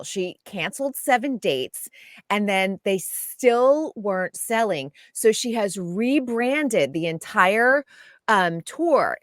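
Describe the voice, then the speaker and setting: A woman's voice: mid-range voice, no echo, clean studio sound. Chatty woman reporting showbiz news. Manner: chatty, lively, laughs often. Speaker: entertainment news contributor. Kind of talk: casual radio chat.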